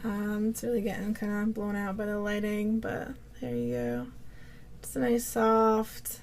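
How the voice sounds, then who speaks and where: A woman's voice: on the high side, little pitch movement, unhurried, gravelly, a little mellow, a little hiss or hum. A woman with a cold, chatting casually as she hosts a yarn-dyeing video podcast, rambling with many fillers and restarts. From Vermont, USA. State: has a cold. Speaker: yarn dyer and podcast host.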